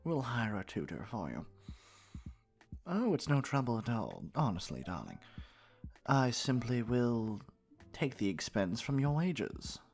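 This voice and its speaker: A man voicing a haughty, domineering lamia noble in a fantasy roleplay, with a pompous, drawn-out, patronizing manner. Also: medium pitch, sing-song, vocal fry, darkish tone, faint music behind, exaggerated voice. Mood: mock-sympathetic. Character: haughty male lamia noble, wealthy retired merchant, condescending and domineering. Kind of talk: scripted ASMR fantasy roleplay.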